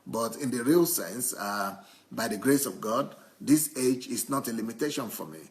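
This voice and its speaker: A man interpreting a church testimony live into English, haltingly, often repeating and rephrasing his phrases. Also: medium pitch.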